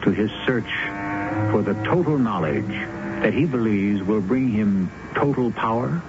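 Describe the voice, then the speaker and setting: A man's voice: fairly low pitch, expressive, measured pace, noisy background. A man hosting a radio mystery drama, delivering a portentous, emphatic scripted introduction full of rhetorical weight. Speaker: host. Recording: dull 1970s radio broadcast, loud music.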